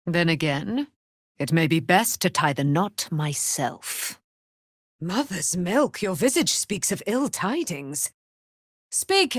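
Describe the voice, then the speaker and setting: A woman's voice: mid-range voice, no echo, clean studio sound, full character voice. A woman playing a proud immortal paladin, a moon goddess's warrior daughter, in a fantasy video game, speaking in grand, archaic, theatrical declamation. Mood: noble, earnest.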